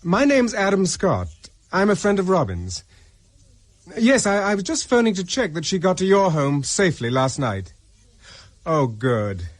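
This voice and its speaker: Animated, higher-pitched British man a wary captain. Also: unhurried, dry recording. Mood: polite, anxious. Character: resourceful, wary British former army captain on the run. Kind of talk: radio drama acting.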